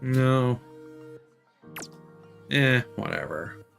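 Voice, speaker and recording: Male game streamer, casual and wry muttered asides, lively swings in pitch, faint music behind. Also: drawn-out words, gravelly. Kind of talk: live game commentary, casual.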